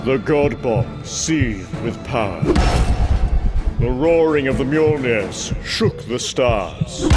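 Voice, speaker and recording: Man as slow, deep, solemn storyteller. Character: grave, solemn storyteller narrating a godly war. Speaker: narrator. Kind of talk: scripted dramatic narration. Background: loud music.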